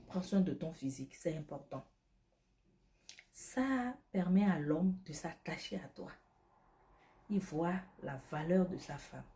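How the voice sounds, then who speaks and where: A woman whose first language is not French talks straight to her viewers, preaching self-care in an emphatic, repetitive way. Her voice sits a little low and sounds mellow and dark. She addresses the listener directly and keeps stressing how important each point is. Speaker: beauty advice vlogger selling skincare products. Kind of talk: direct-to-camera advice talk.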